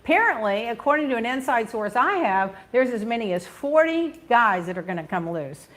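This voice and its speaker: A middle-aged woman hosting a talk show, chatting casually about gossip and news with blunt opinions and quick asides. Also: fairly high pitch, very animated, a bit bright.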